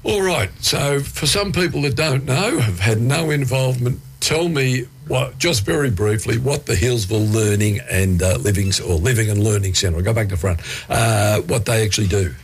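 An Australian man hosting a community radio interview with a croaky voice, asking questions in an easygoing, chatty way. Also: mid-range voice, normal speed, few pauses, slight background noise. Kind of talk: casual interview chat.

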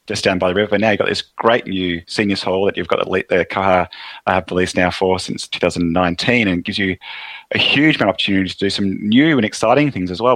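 Aussie guy hosting local radio, low voice, talks fast, dry close mic. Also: no room sound. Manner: upbeat, rambling, eager. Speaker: radio host. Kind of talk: radio interview.

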